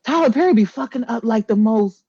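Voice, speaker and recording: A woman's voice: fairly high pitch, lively intonation, fairly quick, warm tone, breathy edge, dry recording. A woman co-hosting a podcast in casual banter, playful, blunt and slangy, on slightly muffled audio. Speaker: podcast co-host.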